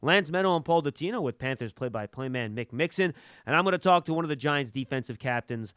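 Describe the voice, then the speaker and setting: A man's voice: mid-range voice, animated, fast talker, fairly bright tone, clear, resonant tone, dry recording, studio quality. A man hosting a sports podcast, introducing segments and putting questions to his guest. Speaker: podcast host and interviewer.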